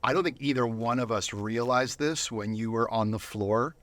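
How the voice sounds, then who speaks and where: Male interview guest, medium pitch, earnest and reflective, conversational delivery, very dry close recording. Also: normal speed, a bit bright, no room sound. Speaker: interview guest, volunteer Bible reader. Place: studio.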